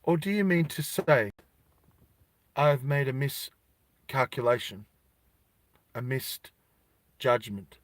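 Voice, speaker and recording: A man playing a cold, implacable official who speaks formally, with measured and quietly menacing politeness. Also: medium pitch, animated. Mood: cold, polite. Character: cold, courteous, implacable male official of a dystopian regime.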